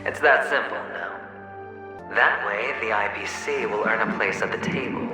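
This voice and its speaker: In a long, cavernous reverb over music, a man plays a smooth young male gambler from a video game. His delivery is low, deliberate and theatrical, with a world-weary edge and dramatic pauses. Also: close to an everyday voice. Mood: smug, goading. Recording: heavy echo, long reverb, music underneath.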